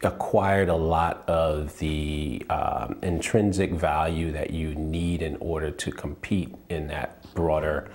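A man in his forties from Liberia, giving measured interview answers as a company co-founder and repeating phrases for emphasis. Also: very deep voice, level tone, darkish tone. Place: indoors, shop with product shelves.

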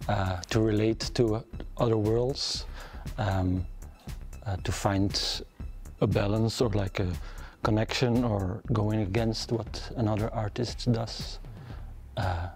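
A man's voice: lowish voice, fairly even intonation, slow pace, gravelly, a little mellow, faint music behind. A young man, a composer, speaking thoughtfully in an interview on a theatre stage. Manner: thoughtful, searching for words. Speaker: composer and musician. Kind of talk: casual interview.

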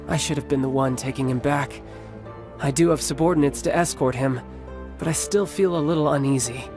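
Young male game rogue, played by a man: doting big brother, medium pitch, smooth courteous delivery. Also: moderate pace, darkish tone, soft background music, natural voice. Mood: wistful, fond. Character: young man, roguish warrior, affectionate doting older brother. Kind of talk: video game voice acting.

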